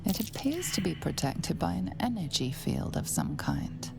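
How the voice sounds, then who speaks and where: A woman playing the hushed, grave narrator of a fantasy video game, reading scripted narration. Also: lowish voice, huge pitch swings, normal speed, creaky, background noise, full character voice.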